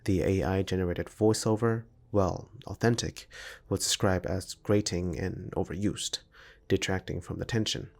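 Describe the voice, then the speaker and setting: Low, gravelly male essay narrator. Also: steady tone, normal speed. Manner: formal, academic reading. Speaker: video essay narrator and amateur filmmaker. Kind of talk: scripted analytical narration.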